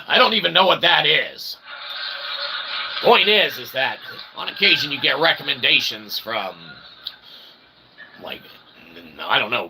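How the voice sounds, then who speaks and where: Male channel host, slightly high but gravelly, mock-pompous comic philosopher, wordy and theatrical, noisy amateur recording. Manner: mock-pompous, wordy, repeatedly ending phrases with "okay". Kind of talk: rambling philosophical comedy monologue.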